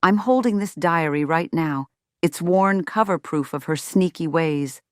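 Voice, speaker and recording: A woman's voice: deep voice, unhurried, smooth, dry recording, clean studio sound. A woman playing a strict, self-righteous middle-aged mother in a scripted roleplay, speaking to viewers with folksy, sugary condescension. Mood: indignant. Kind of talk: scripted ASMR roleplay monologue.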